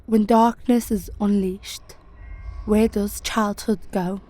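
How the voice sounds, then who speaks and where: A woman poet giving a slow, measured, solemn spoken-word poetry reading. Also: mid-range voice, steady tone, mellow.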